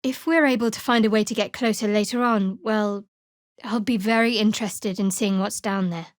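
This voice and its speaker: A woman playing a guarded, sardonic young cleric of a dark goddess in a video game, with a dry, wry, measured delivery. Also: mid-range voice, steady tone, dry recording, natural voice. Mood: guarded, composed. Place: studio.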